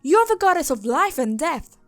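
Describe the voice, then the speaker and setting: Indignant, higher-voiced nature goddess played by a woman. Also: big pitch swings, normal speed, fairly bright tone, no room sound, faint music behind. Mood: disgusted. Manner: scornful, complaining. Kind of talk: fantasy audio drama acting.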